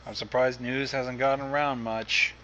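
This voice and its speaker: A man's voice: mid-range voice, level tone, moderate pace, sharp tone, a little hiss or hum. A man making casual comments as co-host, recorded like a lo-fi voice chat. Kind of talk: casual banter.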